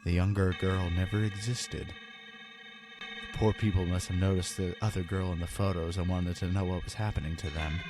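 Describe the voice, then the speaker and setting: A frightened, haunted father, played by a man, recounts his ordeal as a horror story. His voice is on the low side, grave and dramatic, with deliberate phrasing. Music sits clearly behind it. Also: fairly even intonation, lightly acted. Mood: grim dread. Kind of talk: dramatic first-person horror narration. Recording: loud music under voice.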